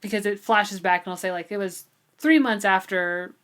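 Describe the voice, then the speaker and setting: A woman's voice: medium pitch, normal speed, a bit bright. A woman with an American accent co-hosting a podcast, talking about a book in a chatty, amused, informal way. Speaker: podcast co-host. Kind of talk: casual book discussion.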